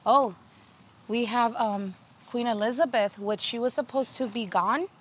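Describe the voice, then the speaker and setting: A woman's voice: fairly high pitch, a little slow, a little hiss or hum. A woman in her thirties giving a casual tour of her home garden outdoors, talking in a chatty way with many fillers and restarts. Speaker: garden video host.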